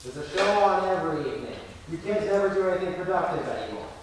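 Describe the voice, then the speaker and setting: A man's voice: high-pitched, expressive, fast talker. A male performer playing a grumpy, technophobic father in an amateur stage play. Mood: grumpy, scolding. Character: grumpy technophobic father of radio-loving children. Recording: echoey hall, distant mic, noisy.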